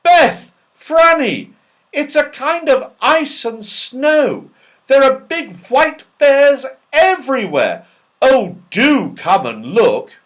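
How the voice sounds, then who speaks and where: Man doing an adventurous kid from a storybook, pitched up, eager, voice jumps around. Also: sing-song, slow, fairly bright tone, heavily acted. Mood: excited. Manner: eager, exclamatory.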